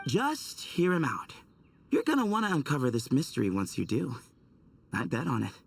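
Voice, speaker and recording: Chatty young game researcher, teasing, pitch all over the place, bit of fry. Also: male voice, huge pitch swings, moderate pace, distant mic, heavily acted. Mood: upbeat, persuasive. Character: easygoing, upbeat young forest researcher, friendly guide. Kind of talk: video game voice acting.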